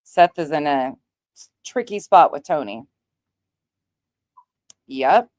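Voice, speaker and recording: Low-pitched woman giving opinionated commentary. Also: expressive, slow pace, a little mellow, no echo, clean studio sound. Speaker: commentator on an online true-crime talk show. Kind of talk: casual commentary on played clips.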